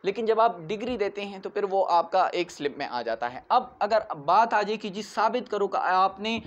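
A man's voice: sits high, animated, moderate pace, highs cut off. A man in his twenties with a Pakistani accent giving an explanatory law lecture in a didactic, repetitive way. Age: 20s. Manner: didactic, repeats key points, checks with "right" and "okay". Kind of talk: explanatory classroom-style lecture. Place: small indoor room in front of a whiteboard. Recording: clearly noisy amateur room recording.

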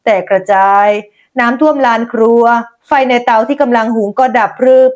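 A woman's voice: on the high side, normal speed, a little mellow. A woman narrating a scripted folk tale as a storyteller, dramatic and descriptive.

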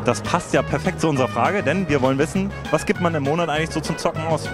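A man's voice: a little high, fast talker. A man in his thirties doing casual vox-pop interviews as host, on a handheld mic. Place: large exhibition hall at a gaming festival. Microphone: handheld reporter microphone. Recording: music laid over the voice.

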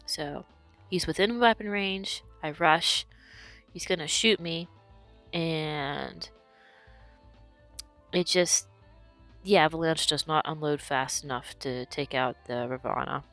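Hoarse woman commenting on her gameplay. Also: low-pitched, slow pace, long pauses, fairly bright tone, soft background music. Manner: explanatory, talks through her decisions. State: losing her voice from illness.

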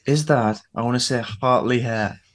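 A young British man hosting a casual online interview, informal and quick to react. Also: medium pitch. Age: young adult. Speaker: interviewer, online show host. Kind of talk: casual chat. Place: small home room, indoors, on a video call.

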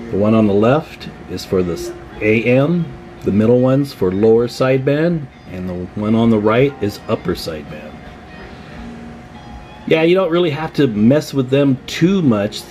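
A man's voice: medium pitch, very animated, unhurried, darkish tone, faint music behind. A man hosting a casual hands-on tutorial as a radio hobbyist, explaining informally step by step at an indoor workbench. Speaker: radio repair hobbyist, video host.

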